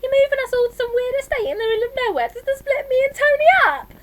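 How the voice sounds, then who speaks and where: Shrill, wailing teenage drama queen. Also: expressive, slow. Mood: indignant wailing. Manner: wailing, shouting, indignant. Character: dramatic lovesick teenage girl, shrill, defiant, sulky. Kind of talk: audiobook character voice.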